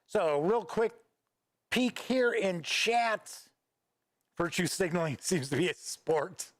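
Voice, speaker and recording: A man hosting a late-night livestream talks loosely and rambles while answering his viewers' comments. His voice sits a bit high for a man, and the sound is dry and close on a desk microphone at home. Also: lively intonation, slow, dry recording. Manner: rambling, reads viewer chat aloud and responds offhandedly. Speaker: host of a gaming news livestream. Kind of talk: casual livestream chat. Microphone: studio microphone at a desk.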